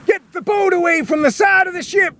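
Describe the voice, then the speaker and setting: Stern ship captain with a high, strained bellow, voiced by a man. Storybook reading. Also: lively intonation, measured pace, a little hiss or hum. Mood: shouting. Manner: bellowing commands, then plain explaining. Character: stern male ship captain, shouting orders.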